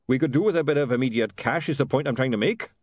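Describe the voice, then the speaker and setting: A man's voice: mid-range voice, fast, darkish tone, dry recording, clean studio sound, close to an everyday voice. A man doing a blunt, businesslike dwarf. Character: practical, blunt male dwarf.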